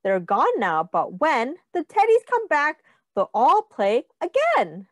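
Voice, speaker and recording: A woman reads aloud to young children as their teacher. Her voice is high and swoops expressively, and she takes her time. She sounds encouraging and sing-song, pausing to count and ask simple questions. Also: huge pitch swings, no echo, studio quality. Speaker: teacher reading a picture book aloud.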